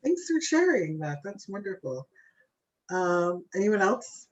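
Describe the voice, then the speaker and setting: A woman moderating a family video call, prompting relatives with quick check-ins. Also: medium pitch, big pitch swings, slight background noise. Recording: muffled lo-fi call audio.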